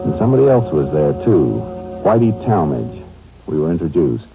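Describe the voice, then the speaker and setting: An American actor playing a shrewd, laconic Texas Ranger in a 1950s radio drama, terse and matter-of-fact. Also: male voice, extremely low pitch, sing-song, normal speed, gravelly, exaggerated voice. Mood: measured, matter-of-fact. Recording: muffled old radio recording, faint music.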